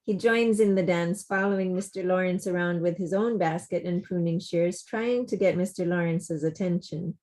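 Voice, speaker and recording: A woman reading stage directions plainly and deliberately as narrator of a play table read. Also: on the low side, a little mellow. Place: remote video call.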